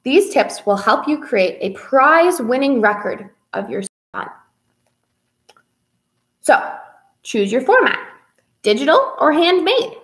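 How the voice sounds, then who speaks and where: A young woman with a fairly high voice hosts a read-aloud for children. She talks directly to kids in an expressive, sing-song manner, like a librarian at story time. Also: unhurried, pauses often. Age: young adult. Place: small indoor room with whiteboard.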